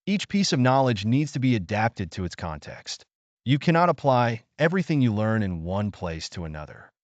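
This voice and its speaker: Man voicing a gentle old sage, lively intonation. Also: lowish voice, normal speed, no room sound, highs cut off. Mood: knowing, calm. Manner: soft-spoken, thoughtful, teacherly. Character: gentle wise old village man, patient and kindly.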